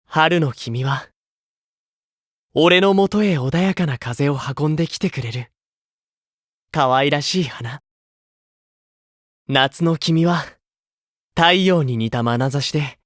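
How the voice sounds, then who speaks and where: A man voicing a gentle, kindly older-brotherly idol with a romantic streak in an anime game, speaking softly. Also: a little high, dry recording, studio quality. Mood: romantic, poetic. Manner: soft, kindly, unhurried.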